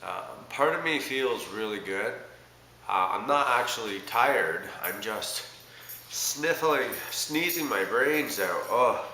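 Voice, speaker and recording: A man's voice: mid-range voice, expressive, slow. A man from Canada with a stuffed-up cold, rambling hesitantly with many fillers in a casual video diary. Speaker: vlogger. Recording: echoey room with loud background noise.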